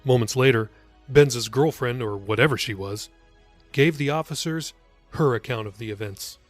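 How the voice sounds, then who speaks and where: A man's voice: medium pitch, normal speed, no room sound, soft background music. A man reading his own novel as scripted audiobook narration.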